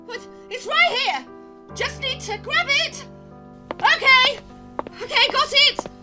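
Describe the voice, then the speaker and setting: A woman's voice: very high voice, slow, loud music behind the voice. A woman playing a stubborn, self-important lady in an audio drama, haughty and argumentative. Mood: indignant.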